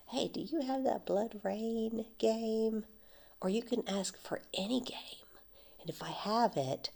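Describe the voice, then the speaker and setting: Low, slow-talking soft woman vendor. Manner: soft-spoken, drifting into whispers, light frequent laughs. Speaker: ASMR creator playing a flea market vendor. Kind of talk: ASMR roleplay.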